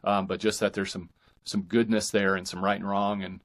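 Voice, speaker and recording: Low-voiced man in casual conversation. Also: level tone, normal speed, fairly bright tone. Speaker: podcast guest, fiction author.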